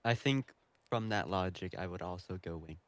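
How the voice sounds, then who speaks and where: A man's voice: low voice, normal speed, no echo. A man chatting casually as a panelist on a podcast. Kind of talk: casual chat.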